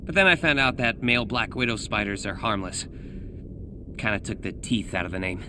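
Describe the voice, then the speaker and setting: An actor with an American accent playing an earnest, youthful, slightly hesitant young superhero in a video game. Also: male voice, lowish voice, expressive, brisk, close to an everyday voice. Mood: relieved, joking. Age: young adult. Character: earnest young male superhero with a secret identity. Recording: game audio with clear background noise.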